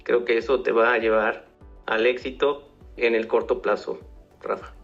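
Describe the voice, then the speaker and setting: A middle-aged man, a guest giving measured interview answers and often repeating words. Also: medium pitch, level tone, fairly bright tone. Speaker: guest, finance executive. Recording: slightly dull radio audio, faint music.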